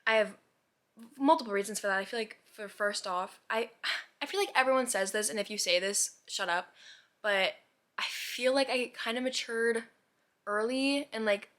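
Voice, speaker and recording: A woman's voice: a little high, a bit bright. A young woman of about eighteen in a casual, rambling, reflective chat to viewers, musing and questioning herself with lots of "like". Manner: musing, self-questioning, heavy use of "like". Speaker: vlogger.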